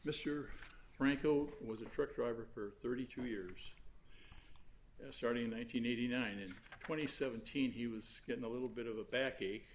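A soft-spoken man arguing an appeal before judges in a large courtroom, halting and full of fillers as he speaks into a fixed recording mic. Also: medium pitch, a little hiss or hum. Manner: halting, with many fillers and restarts. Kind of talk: appellate oral argument.

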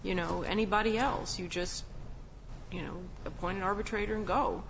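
A woman's voice: mid-range voice, fairly even intonation, moderate pace, a bit bright. A woman speaking as an appellate judge, questioning a lawyer in an assertive, probing, argumentative way. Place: courtroom. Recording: muffled courtroom recording with clear background noise.

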